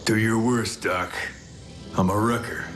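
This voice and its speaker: A man voicing a cocky, battle-hardened alien robot warrior in an animated action series, wry, swaggering and laconic, with a brash wisecracking streak. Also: on the low side, unhurried. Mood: defiant, taunting. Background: music.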